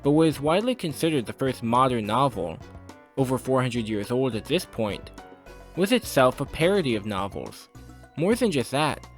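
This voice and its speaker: A man reads a scripted video essay with animated intonation. His wording is formal and literary, and he argues his opinions over faint background music. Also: medium pitch, normal speed.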